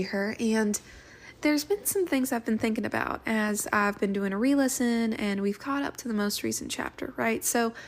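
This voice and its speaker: Woman leaving a voice message for a podcast, bit high, creaky, thinking out loud. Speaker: listener sending a voice message. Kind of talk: reflective fan commentary.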